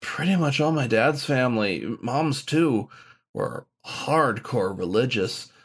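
A nervous young canine shaman-in-training performed by a man in an audio story. He speaks haltingly and plaintively, lifted well above a normal male register, with very mobile intonation. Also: mid-range voice, lively intonation, slightly rough, voice pushed up, exaggerated voice. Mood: worried. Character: anxious young male dog student, haunted shaman.

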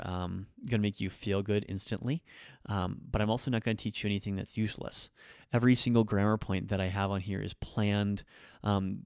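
Guy doing a solo podcast rant, lowish voice, dry close mic, lots of ums. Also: vocal fry, a little mellow, no room sound. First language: English. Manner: frank, opinionated, with frequent ums and restarts. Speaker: podcast host and language teacher. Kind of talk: unscripted monologue.

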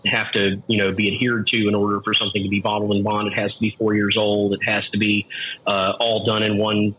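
A man's voice: lowish voice, monotone, fairly quick, a bit bright, muffled recording, a little hiss or hum. A man joining a podcast as a guest, explaining whiskey history with many hesitations. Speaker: guest, club president. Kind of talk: casual chat.

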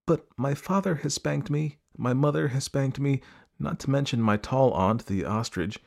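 A man's voice: medium pitch, moderate pace, vocal fry, darkish tone, pitched above the performer's own voice, natural voice. A man voicing a very polite, curious and earnest young elephant in a storybook reading, courteous and long-winded.

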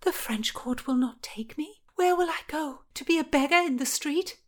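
Period audiobook: woman as passionate young noblewoman, raised pitch, frightened, breathy, defiant. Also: expressive, normal speed. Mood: desperate. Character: Anne Boleyn, frightened, defiant, passionate young pregnant lady.

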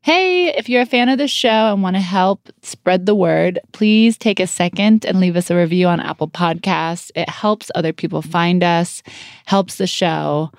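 A woman's voice: on the low side, normal speed, no echo, clean studio sound. A woman co-hosting a podcast in a conversational style. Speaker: podcast co-host.

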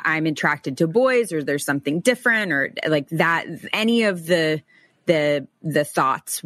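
Woman, podcast host, joking, relaxed, slightly low voice, dry close mic. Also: dry recording. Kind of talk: casual interview chat.

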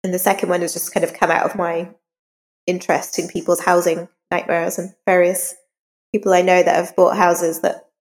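A woman's voice: fairly low pitch, little pitch movement, normal speed, in a large hall. A woman talking conversationally as a guest author in an interview.

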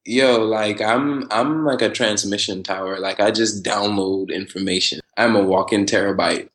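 A man in his twenties from Trinidad and Tobago, talking casually as a podcast guest, animated and quick to laugh. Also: fairly low pitch. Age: 20s. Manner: animated, laughs often, spiritual slang-heavy talk. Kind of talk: casual conversational interview.

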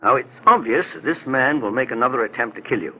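A mature detective from a 1940s radio drama, explains himself with earnest, unhurried authority. His voice is husky and sits at a middling pitch. The old recording is muffled. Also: lively intonation. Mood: grave, deliberate. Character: calm, deliberate male private investigator, mature and authoritative.

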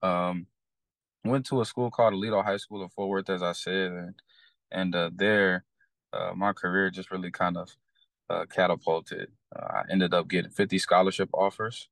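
Young Texan man, deep voice, dry close recording, easygoing podcast guest. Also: normal speed, vocal fry, no room sound. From Texas. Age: young adult. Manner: relaxed, many fillers and restarts. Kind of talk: casual storytelling.

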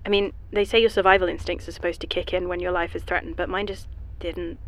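An actress playing a shaken, self-deprecating scientist in an audio drama, speaking haltingly with dry, rambling humor. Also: female voice, fairly low pitch, flat delivery, a bit bright, a little hiss or hum, poor audio. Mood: reflective. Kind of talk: audio drama acting, diary monologue.

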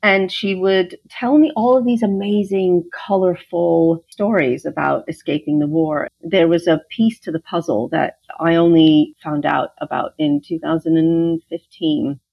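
A woman author whose first language is Ukrainian, telling her family story in an interview with lively, fluent storytelling. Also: fairly low pitch, measured pace, vocal fry, hardly any pauses, slightly rough, no echo, highs cut off. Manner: animated, fluent storytelling with small restarts. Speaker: author, guest. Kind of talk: interview about her novel.

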